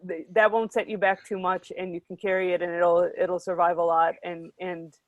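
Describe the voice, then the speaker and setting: Low-voiced woman, dry close sound. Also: little pitch movement, no room sound, muffled recording. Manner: measured, hesitant advice with fillers. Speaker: panelist. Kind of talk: online convention panel talk.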